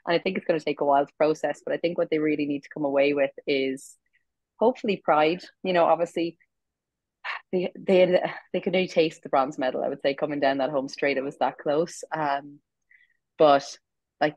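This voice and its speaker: Hoarse irish woman, low flat voice, dry close sound, earnest, rambles with restarts. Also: no echo, rough recording. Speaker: former sprinter, pundit. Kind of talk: casual sports analysis.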